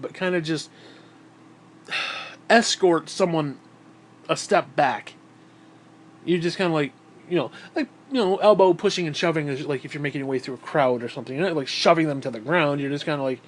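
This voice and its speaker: A man's voice: fairly high pitch, moderate pace, long pauses, slight background noise. A man with a stuffed-up, nasal voice, talking in a bitter, profane, rambling monologue into a tablet. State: stuffed-up nose. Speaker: vlogger.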